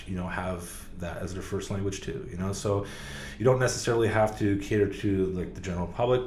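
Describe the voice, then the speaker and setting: A man's voice: low voice, darkish tone. Man, podcast guest, casual rambling speech, roomy echo. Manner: rambling, many fillers and restarts. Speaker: podcast guest, car sales professional. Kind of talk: casual interview talk. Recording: echoey room, faint background noise.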